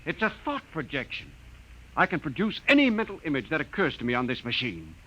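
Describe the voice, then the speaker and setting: Excitable space scientist, animated, high-pitched. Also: male voice. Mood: urgent, excited. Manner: excitable, halting when amazed, theorizing. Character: excitable, obsessive male scientist on a space expedition. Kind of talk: 1950s radio drama acting. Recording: muffled, hissy old radio recording.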